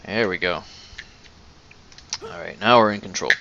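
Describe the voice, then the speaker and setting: Casting: young male gaming host, lowish forceful voice, informal commentary, dull recording with game music. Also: moderate pace, creaky, background noise. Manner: informal, off-the-cuff. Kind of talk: casual let's play commentary. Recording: muffled mic over game music.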